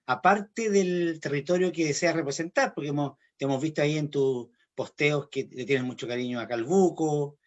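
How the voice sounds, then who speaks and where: Guy interviewing, voice on the higher side, muffled lo-fi sound, long rambling questions. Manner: rambling, restarts often, long wordy questions.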